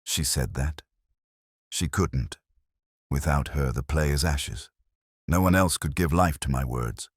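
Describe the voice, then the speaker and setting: Man reading as a deep-voiced lovesick playwright. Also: slow, pauses often, slightly rough, dry recording, clean studio sound, full character voice. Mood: anguished. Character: anguished lovestruck male playwright, dramatic and devoted. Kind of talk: audiobook character dialogue.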